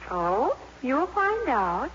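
An actress playing an earnest, easily wounded high school girl in a 1950s radio comedy. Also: huge pitch swings, extremely slow, fairly bright tone, exaggerated voice. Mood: coy, bluffing. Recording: muffled, noisy old radio broadcast.

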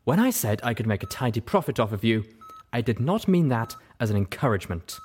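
A man's voice: mid-range voice, sing-song, brisk, darkish tone, soft background music, natural voice. A man voicing a dry, wry male pony doctor.

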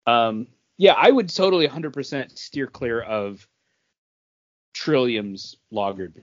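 A man co-hosting a podcast in casual chat, with a rambling, halting delivery. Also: mid-range voice, animated. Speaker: podcast co-host. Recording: dull, dry lo-fi audio.

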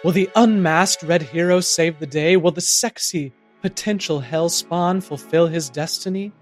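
Man acting meek playwright, highish voice, earnest theatrical delivery, comedy audio drama, faint music. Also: measured pace, close to an everyday voice. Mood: dramatic, earnest. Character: meek, earnest amateur playwright performing his own play.

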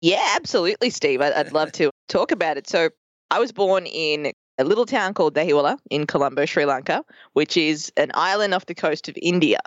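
A woman in her thirties with an Australian accent, a podcast producer chatting as a guest in a lively, bubbly way, laughing often. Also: sits low, fairly quick, no room sound, lo-fi sound. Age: 30s. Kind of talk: casual interview chat.

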